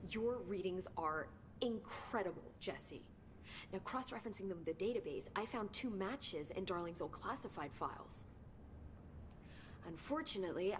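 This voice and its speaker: An actress playing an eager researcher in a video game, explaining findings in a brisk, informative way. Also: female voice, medium pitch, close to an everyday voice. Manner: brisk, informative, slightly excited. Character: eager female bureau researcher, brisk and curious. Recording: game audio with clear noise.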